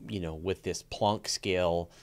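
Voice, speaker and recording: A man hosting a conversational science interview, thinking aloud in a halting way as he frames his questions. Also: sits low, steady tone. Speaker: podcast host, interviewer.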